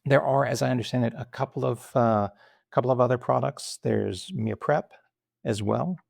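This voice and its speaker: A male podcast host with a gravelly, creaky voice at an ordinary pitch. He speaks haltingly and often restarts his sentences while introducing and questioning his guest. The sound is dry and close, with no room echo. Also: a little mellow. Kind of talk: interview hosting.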